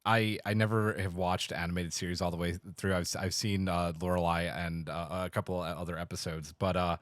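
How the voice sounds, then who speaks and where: Lowish-voiced man chatting, dry sound. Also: flat delivery, brisk, dry recording. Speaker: podcast panelist. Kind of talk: casual chat.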